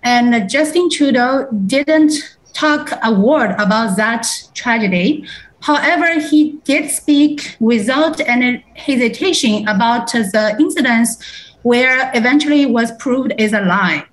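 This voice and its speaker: A woman's voice: a little high, slow pace, poor audio. A woman from China in a focus group, speaking hesitantly with many fillers. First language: Chinese.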